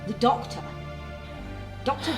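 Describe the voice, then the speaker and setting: A woman's voice: measured pace, natural voice. An actress playing a plucky, anxious female office worker in an audio drama, with colloquial, flustered delivery. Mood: nervous. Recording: echoey room, music underneath.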